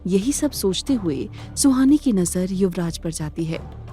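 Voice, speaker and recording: A woman narrating a scripted audio drama in a measured, dramatic storytelling manner. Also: mid-range voice, animated, warm tone, faint music behind.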